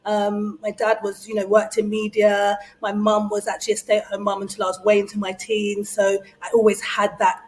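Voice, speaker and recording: A woman's voice: medium pitch, completely flat delivery, normal speed, hardly any pauses, darkish tone, low-quality recording. Chatty woman guest, brand strategist. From Nigeria, raised in London. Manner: chatty, lots of fillers and restarts, laughs easily. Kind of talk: casual interview conversation.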